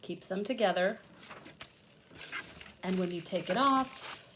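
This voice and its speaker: A woman's voice: medium pitch, takes it slowly. Woman teaching children, noisy homemade recording. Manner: simple, step-by-step explaining, thinking aloud. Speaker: children's teacher reading aloud and demonstrating. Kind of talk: hands-on read-aloud lesson for young children, alternating reading and casual narration. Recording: noticeable background noise, homemade video.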